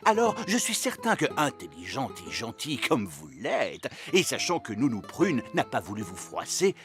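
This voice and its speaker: A man's voice: mid-range voice, huge pitch swings, moderate pace, fairly bright tone, faint music behind. A man voicing a courteous, tactful fairy king in a cartoon, with a politely grand manner. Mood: courteous, flattering. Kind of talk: animated series dub acting.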